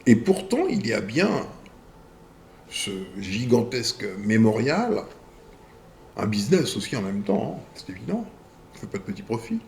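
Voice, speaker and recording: A man hosting a video channel. He reads viewer messages aloud and answers them in a deliberate way, in short phrases with pauses. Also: lowish voice, big pitch swings, creaky, a little mellow, a little hiss or hum.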